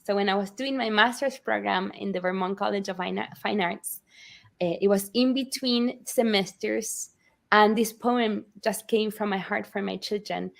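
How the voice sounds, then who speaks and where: A woman from Argentina, telling the story of her book as an interview guest with animated, earnest warmth. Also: mid-range voice, moderate pace, vocal fry. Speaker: author guest. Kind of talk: conversational interview.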